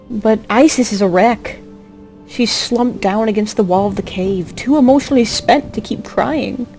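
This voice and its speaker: A woman's voice: fairly high pitch, mellow. A woman delivering scripted story narration in an emotive, theatrical way. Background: music.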